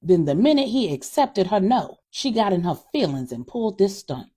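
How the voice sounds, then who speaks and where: A woman telling a serial story in an expressive, dramatic narrating style. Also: mid-range voice, normal speed, husky. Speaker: storyteller reading serial fiction.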